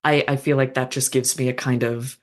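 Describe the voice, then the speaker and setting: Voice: mid-range voice, level tone, fairly quick. A podcast guest, a fan-fiction author, talking casually and thoughtfully, with many restarts and "um"s. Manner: thoughtful, rambling with restarts and fillers.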